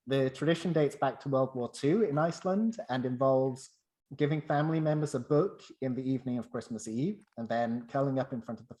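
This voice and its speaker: A man's voice: medium pitch, a little mellow, rough recording. A man from the UK with a British accent, presenting a semi-scripted personal anecdote.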